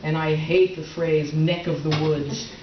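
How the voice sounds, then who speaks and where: A woman playing a prim, quietly resentful middle-aged wife in a stage comedy reading, hesitant and mildly sarcastic. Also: low-pitched, level tone, measured pace. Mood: dryly resentful. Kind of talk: readers theater stage comedy. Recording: roomy, distant, dull, faintly noisy stage recording.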